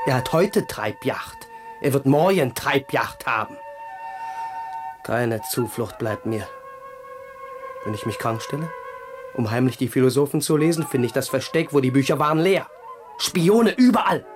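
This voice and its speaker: An actor playing a bitter, cultured young crown prince in a radio play, declaiming with theatrical irony. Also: male voice, mid-range voice, expressive, a little slow, pauses often, lightly acted. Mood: bitter despair. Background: music.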